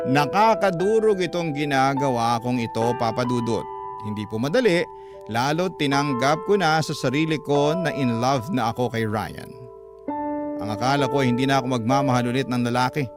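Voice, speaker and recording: Male radio narrator, Filipino accent, mid-range voice, confessional letter-reading over prominent music. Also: mid-range voice. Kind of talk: dramatic first-person story narration. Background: loud background music.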